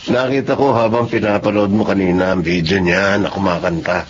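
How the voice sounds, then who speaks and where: A man's voice: low voice, fairly even intonation, fairly quick, muffled recording, noisy background, lightly acted. An actor in a Filipino radio drama playing a caring, reflective doctor who is a friend of his patient. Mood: friendly, reflective.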